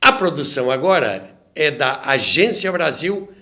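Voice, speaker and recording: A man's voice: fairly high pitch, very animated, a little slow, sharp tone. A man with a Brazilian accent narrating a documentary in a conversational, storytelling way, with asides to the listener. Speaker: host and narrator of a radio history show.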